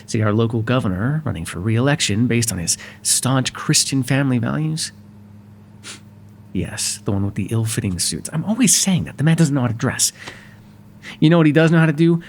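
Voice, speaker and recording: Casting: male actor for wry, exasperated yet courteous mobster, gravel-edged, conversational roleplay delivery, faint hum and noise on the recording. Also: mid-range voice, expressive, moderate pace, close to an everyday voice. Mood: wry, explaining. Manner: sardonic and conversational, swinging from exasperated to soft. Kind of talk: ASMR roleplay voice acting.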